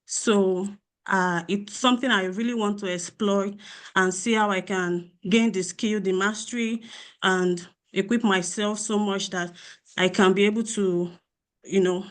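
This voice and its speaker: A woman's voice: mid-range voice, steady tone, unhurried. A young woman from Nigeria, a graduate student, introducing herself on a webinar panel with many ums and restarts.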